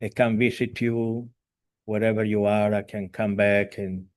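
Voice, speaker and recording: A man in his seventies, a spiritual teacher, giving an earnest, halting talk over a video call, with many fillers, restarts and non-native grammar. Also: fairly low pitch, little pitch movement. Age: 70s.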